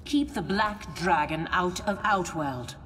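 An actress playing a firm, commanding warrior in a video game, in a long reverb. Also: female voice, on the low side, animated, slow, fairly bright tone, slight background noise. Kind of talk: video game character dialogue.

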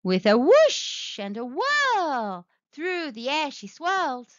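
A woman reading a children's story aloud as narrator, in animated storytelling style. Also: high voice, slow, no echo, lo-fi sound.

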